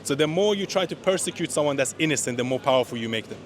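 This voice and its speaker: A man from Iran, interviewed outdoors at a street rally, giving earnest and opinionated answers with frequent quick restarts. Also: fairly high pitch, expressive.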